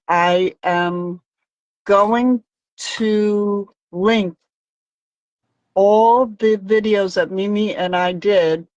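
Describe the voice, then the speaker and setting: A woman hosts a live crafting chat over a video call, rambling and hesitant. Her speech is unhurried and lowish for a woman. The sound is dry and close. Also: no room sound, poor audio. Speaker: host crafter.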